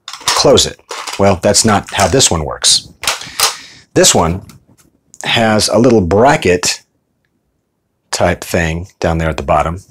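A man's voice: on the low side, a little hiss or hum. A middle-aged American man talking informally and conversationally in a casual show-and-tell vlog. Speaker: video host.

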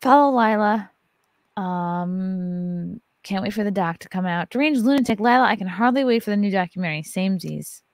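A woman's voice: medium pitch, normal speed, no room sound. A woman in her thirties hosting a casual livestream podcast from a home studio, loose and joking, swearing freely. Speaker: podcast host. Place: home studio with neon lights. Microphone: large studio mic on arm. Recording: dry close-mic sound.

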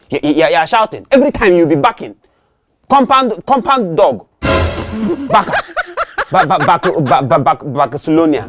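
Man as a spiteful caretaker; stammering taunts, lively pitch, light music bed. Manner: mocking, stammering, with Igbo exclamations. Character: taunting male compound caretaker, boastful and spiteful. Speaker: compound caretaker. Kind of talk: comedy skit acting.

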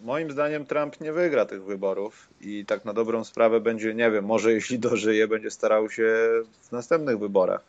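A man's voice: on the low side, moderate pace, no room sound. A man hosting a podcast in casual chat, dropping sardonic, joking asides. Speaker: podcast host. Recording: dull, dry lo-fi sound.

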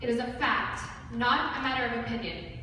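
A young woman delivers a formal, rehearsed presentation in a slightly high voice. The sound is distant and very echoey, with clear noise from the large hall. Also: brisk, bright, forceful tone. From Iceland, originally Kurdistan. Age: young adult. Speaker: student presenter. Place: large hall with seated audience. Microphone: far audience camera.

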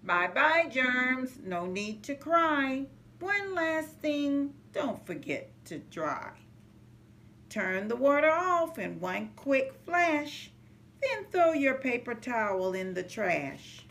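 Lively, slow-paced woman reading to children. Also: high-pitched. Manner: sing-song, measured rhyming delivery. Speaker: early childhood center manager reading to children. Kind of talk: animated children's picture-book read-aloud. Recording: noticeable background noise, home recording.

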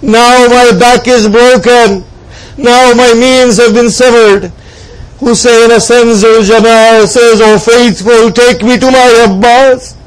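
A man with a strikingly high voice delivers a religious lament as a preacher. He speaks slowly and solemnly, shaping the story with impassioned, plaintive drama. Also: steady tone, clear, resonant tone, rough recording. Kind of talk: mournful devotional sermon storytelling.

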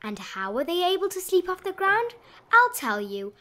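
A woman narrating a rhyming children's bedtime picture book in an expressive, sing-song read-aloud style with drawn-out emphasis. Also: very high-pitched. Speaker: storybook narrator.

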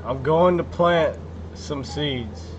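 A man playing a video game with a friend, talking casually and slowly. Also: on the high side. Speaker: gamer. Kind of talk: casual gaming chat. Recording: muffled with faint noise.

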